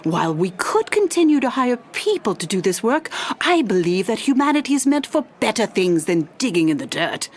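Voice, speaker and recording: Animated, earnest little inventor. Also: female voice, a little high. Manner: earnest, enthusiastic. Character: curious little scientist, earnest, idealistic, proud inventor. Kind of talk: scripted audio drama acting, pitch speech.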